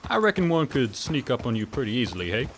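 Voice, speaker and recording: A man playing a deadpan teasing railwayman. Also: mid-range voice, quick pace, slight background noise. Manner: deadpan, mock-polite teasing. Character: wry teasing male railway worker. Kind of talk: radio drama acting.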